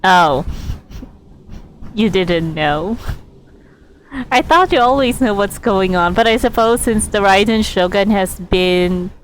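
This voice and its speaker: A woman streaming a game with casual, halting commentary, giggly and thinking aloud. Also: medium pitch, a little hiss or hum, rough recording.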